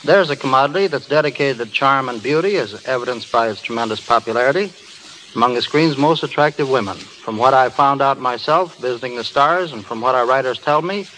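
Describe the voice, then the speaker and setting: A man's voice: mid-range voice, brisk, pushed and bright. A man speaking formally as an editor guest in a scripted 1930s radio interview. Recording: noisy old 1930s radio broadcast.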